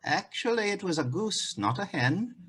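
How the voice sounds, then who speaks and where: A man's voice: high-pitched, very animated, normal speed, a bit bright, breathy edge, heavily acted. A man acting a wry bartender and onetime giant killer in a fairy-tale stage play, speaking emphatically. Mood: indignant.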